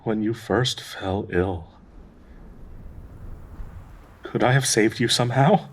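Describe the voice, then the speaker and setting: An actor playing a devoted, grieving male dryad in an intimate audio roleplay, speaking tenderly and haltingly with a husky, breathy voice. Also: lowish voice, natural voice. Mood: remorseful. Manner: slow, halting, tender pleading with sighs.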